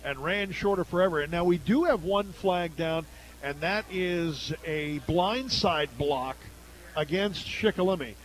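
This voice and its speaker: High-pitched American man calling football. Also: unhurried, low-quality recording. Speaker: play-by-play announcer. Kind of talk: live radio football play-by-play commentary. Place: at a high school football game.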